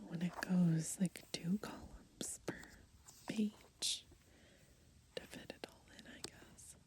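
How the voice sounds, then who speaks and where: A woman softly murmuring ASMR commentary as she browses a book, breathy and gentle, outdoors. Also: lowish voice, fairly even intonation, slow pace. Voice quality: breathy, whispery. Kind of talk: ASMR, soft murmured commentary and title reading. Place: outdoors on grass.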